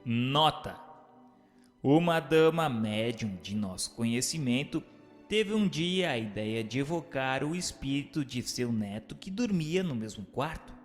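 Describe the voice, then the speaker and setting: A man's voice: medium pitch, expressive, unhurried. Male narrator, formal scripted read, heavy reverb, light music bed. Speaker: narrator and questioner. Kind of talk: scripted narration, reading aloud. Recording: long hall reverb, music underneath.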